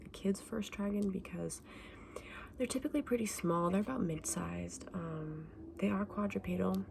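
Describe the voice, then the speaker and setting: Whispering, creaky fantasy shopkeeper woman, softly acted. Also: medium pitch, a little hiss or hum, close to an everyday voice. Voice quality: breathy whisper, soft. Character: friendly, candid female dragon egg shop keeper. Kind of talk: ASMR roleplay, whispered and soft-spoken.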